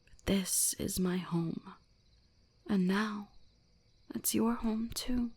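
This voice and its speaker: A woman plays an ancient kitsune shrine maiden in an intimate ASMR roleplay. She speaks very slowly and breathily, often slipping into whispers, with a creaky edge. Her manner is soothing, possessive and gently commanding. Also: medium pitch. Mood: tender, coaxing.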